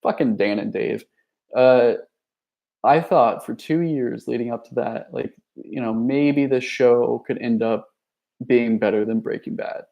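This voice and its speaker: A young man talks off the cuff, his voice gravelly with a rough edge. He wanders from thought to thought, stacking fillers and false starts like someone chatting on a livestream. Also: medium pitch, unhurried, muffled recording. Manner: rambling, many fillers and restarts; reads viewer chat messages aloud. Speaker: youtuber. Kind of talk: casual livestream chat.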